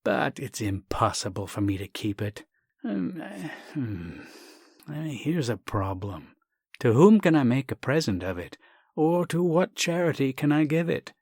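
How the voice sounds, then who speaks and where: A man's voice: medium pitch, sing-song, a little slow, a little mellow, no echo, studio quality, voice pushed up. A man voicing a hesitant, embarrassed, proper family-man doctor in a comic story, fussy and hemming and hawing. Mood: pondering. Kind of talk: acted reading of a comic short story.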